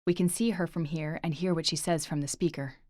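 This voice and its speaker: A woman's voice: low voice, steady tone, a little fast, a little mellow, no room sound, studio quality, lightly acted. A woman voicing an intense, protective young man who speaks emphatically. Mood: urgent. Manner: pleading, emphatic.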